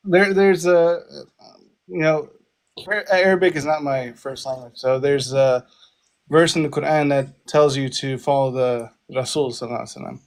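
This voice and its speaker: Hesitant, polite male caller. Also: fairly high pitch. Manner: hesitant, polite, full of fillers. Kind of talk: call-in conversation.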